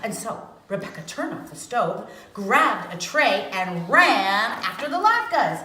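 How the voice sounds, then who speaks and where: A woman reading a children's storybook aloud with dramatic, expressive phrasing, as a storyteller. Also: mid-range voice, takes it slowly. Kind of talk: animated storybook read-aloud. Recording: echoey room with faint noise.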